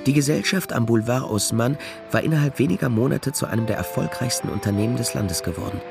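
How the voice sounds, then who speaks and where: A man's voice: mid-range voice, fast, vocal fry, music in the background, close to an everyday voice. An actor playing a dry, worldly detective who narrates his own case in a matter-of-fact, wry way. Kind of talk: scripted noir narration.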